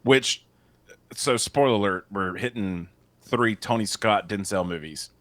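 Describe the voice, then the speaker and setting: A man co-hosting a casual movie podcast, excitable and joking, often cutting in mid-sentence. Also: lowish voice, a little slow, no echo. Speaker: podcast co-host.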